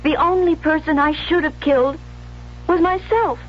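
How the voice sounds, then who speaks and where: A woman's voice: sits high, normal speed, lightly acted. An actress playing a tormented, love-starved young woman in an anguished 1970s radio drama style. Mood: anguished remorse. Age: young adult. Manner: anguished, overwrought, dramatic delivery. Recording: muffled, noisy old radio broadcast.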